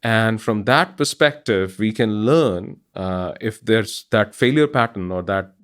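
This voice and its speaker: A middle-aged man with an Indian accent, a guest explaining his technical work in a measured way with many pauses. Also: on the low side, expressive. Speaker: guest scientist.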